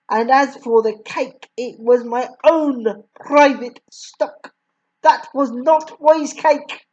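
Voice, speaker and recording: A woman voicing a bullying, brawny headmistress who barks and shouts insults, pitched well above her own reading voice. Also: muffled recording, exaggerated voice.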